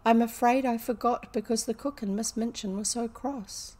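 A woman voicing a bright, imaginative schoolgirl in a storybook, earnest and deliberate, with old-fashioned kindness. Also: fairly high pitch, fairly even intonation, voice pushed up, lightly acted. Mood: weary, gentle.

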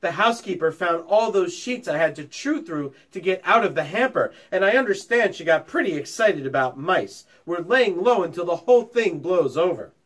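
A man voicing a plucky, curious young male mouse who talks in a brisk, chatty way. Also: sits high, lively intonation, a bit bright. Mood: earnest.

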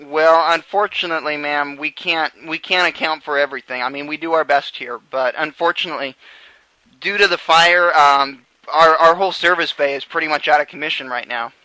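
A man's voice: a little high, brisk, bright, forceful tone, no echo. A man on a phone call speaking as a manager, hesitant and repeating his words. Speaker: prank caller posing as a dealership manager. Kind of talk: phone conversation, improvised prank.

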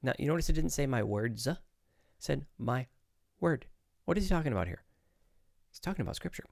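A man's voice: mid-range voice, lively intonation, brisk, gravelly, darkish tone, no echo. A man in his forties giving informal, opinionated Bible teaching in a conversational, explanatory way, close to a studio microphone. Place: small home studio, indoors. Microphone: close studio microphone on desk.

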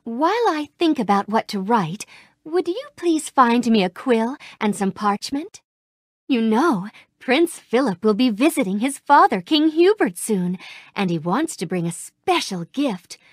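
Sweet fairy-tale princess for a game, soft and refined, kinda high, lively sing-song lilt, dry close studio sound. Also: female voice, unhurried, dry recording. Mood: gracious. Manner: polite, gracious, warmly grateful. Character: gentle, kind young princess, sweet and gracious. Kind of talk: game voice clips. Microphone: close studio mic.

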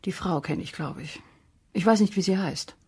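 Curt, husky, low-voiced woman witness. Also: normal speed, a little mellow. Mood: curt, irritated. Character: curt, weary, reluctant sister of a murdered man. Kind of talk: German crime radio play.

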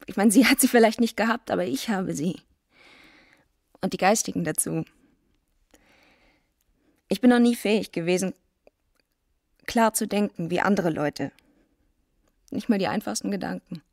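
An actress playing a tormented, despairing young woman in a radio drama, speaking in a halting, brooding way. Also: mid-range voice, vocal fry, darkish tone, no echo, clean studio sound. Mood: anguished. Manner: halting, brooding, self-loathing.

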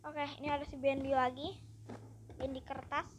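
A gaming YouTuber giving upbeat, chatty let's-play commentary and constantly addressing the viewers. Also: female voice, high voice, little pitch movement, normal speed, a little hiss or hum.

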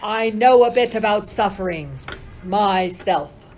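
A woman's voice: medium pitch, takes it slowly, darkish tone, slight background noise, close to an everyday voice, low-quality recording. A woman playing a proud, mighty male hero of myth, declaiming in a radio play.

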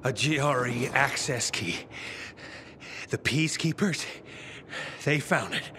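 A weakened man giving grave, urgent instructions in a video game. He speaks slowly and haltingly at a medium pitch, with clear noise around him. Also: pushed and bright. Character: urgent, weakened man entrusting a vital key. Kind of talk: video game cutscene acting. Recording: clear ambient noise behind voice.

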